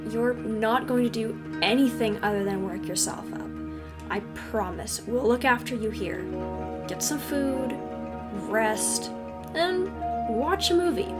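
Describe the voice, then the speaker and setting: A woman playing a kindly, professional agent in an audio roleplay, gently explaining things and reassuring the listener in a conversational way. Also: on the high side, close to an everyday voice. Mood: caring. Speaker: agency guide briefing a newcomer.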